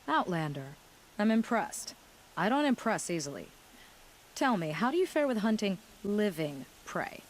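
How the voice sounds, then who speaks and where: A tough game prison warden, commanding and clipped. Her medium-pitched voice moves around a lot. A faint hiss sits behind it. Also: sing-song, measured pace, rough recording. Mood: stern, appraising. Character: stern, hard-to-impress female prison warden. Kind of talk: video game dramatic acting.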